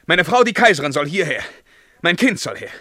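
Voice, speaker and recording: An actor playing a tormented, world-weary emperor in a German verse radio drama, declaiming between outcries and hushed murmurs. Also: male voice, a little high, very animated, brisk, no echo, studio quality. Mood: agitated, commanding.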